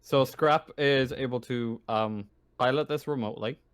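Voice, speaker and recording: A man's voice: mid-range voice, moderate pace, smooth. A man running a tabletop game casually as game master, hesitant and rambling, with an apologetic manner.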